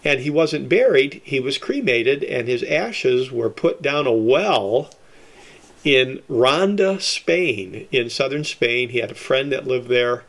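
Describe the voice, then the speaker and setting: A man's voice: mid-range voice, lively intonation, slow. A man presenting an informal history talk in a conversational way, with folksy asides. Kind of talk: informal history lecture with slides.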